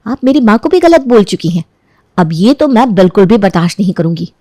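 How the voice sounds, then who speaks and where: A woman's voice: mid-range voice, very animated, warm tone, dry recording. A woman as a firm young daughter-in-law, dry sound. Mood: firm, defiant. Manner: firm, measured, polite but defiant. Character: wronged young daughter-in-law, firm, restrained, standing her ground.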